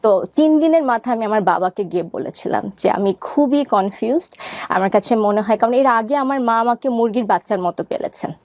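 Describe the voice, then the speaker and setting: High-voiced Bangladeshi woman telling her story. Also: expressive, a little fast, darkish tone, dry recording. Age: 30s. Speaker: interview guest. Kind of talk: conversational personal storytelling, mixing in English words.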